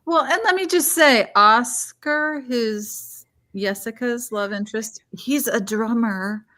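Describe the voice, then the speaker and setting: A woman's voice: a little high, slow pace, sharp tone. A woman co-hosting a casual book chat, enthusiastic and full of compliments. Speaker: book club host. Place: live online video chat.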